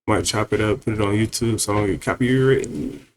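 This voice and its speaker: A man's voice: fairly low pitch, brisk, a little hiss or hum. A man streaming, talking to viewers in a laid-back, rambling way with many fillers. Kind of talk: casual stream chat.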